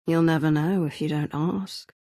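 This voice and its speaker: An actress playing a weary, forgiving female commander in a sci-fi game, measured and composed. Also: sits low, steady tone, mellow. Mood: teasing, tender. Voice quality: gravelly, husky. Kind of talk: video game cutscene acting.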